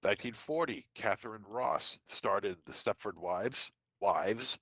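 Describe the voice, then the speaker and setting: A man's voice: on the low side, a bit bright, no echo. Congested man reading horror trivia. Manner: lists dates and titles with quick asides. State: congested from a cold. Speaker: podcast co-host. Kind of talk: casual podcast segment reading trivia.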